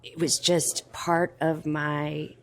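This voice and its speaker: A woman with a slightly low voice speaks in a conversational interview. She is a writer and vendor talking at a convention. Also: little pitch movement, takes it slowly.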